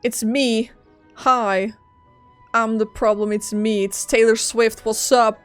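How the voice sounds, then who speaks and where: A woman's voice: a little high, fairly even intonation, slow pace. A woman giving casual, slangy commentary as a game streamer, playfully reacting aloud. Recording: streamer voice over game music.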